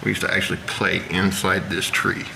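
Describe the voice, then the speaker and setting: American male hobby vlogger, gravelly and fairly low, unhurried DIY walkthrough, noisy outdoor sound. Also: little pitch movement. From Indiana, United States. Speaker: amateur radio hobby vlogger. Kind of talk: casual how-to demonstration.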